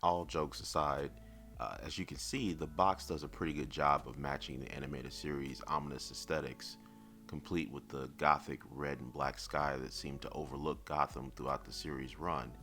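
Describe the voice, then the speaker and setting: A man reviewing collectibles in semi-scripted narration, speaking in a measured, articulate and lightly wry way over background music. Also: very deep voice, fairly even intonation, bright, forceful tone.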